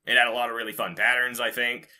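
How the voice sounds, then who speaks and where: A man's voice: mid-range voice, flat delivery, quick pace, pushed and bright. A man hosting a podcast, chatting casually about game news in an informal, rambling, casually profane way. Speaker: podcast host.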